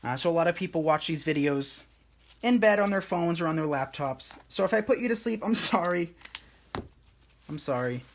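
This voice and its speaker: A man hosting a live craft tutorial, talking viewers through each step in a soothing, relaxed and unhurried way. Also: on the high side, slight background noise.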